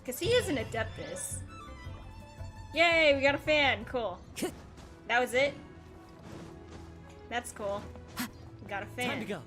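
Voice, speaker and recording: A woman with a fairly high, very lively voice streams a game in a casual, playful way. She takes her time between remarks, chatting and reacting to what is on screen. Her pitch moves around freely as she goes. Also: measured pace, pauses often, faint music behind. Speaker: livestream host. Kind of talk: casual gaming livestream commentary, reading game dialogue aloud.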